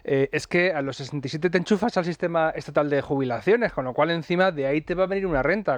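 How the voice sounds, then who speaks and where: A man hosting a radio show, jumping in quickly and emphatically. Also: fairly high pitch, no echo. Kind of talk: casual radio banter. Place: radio studio. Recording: slightly dull radio studio sound.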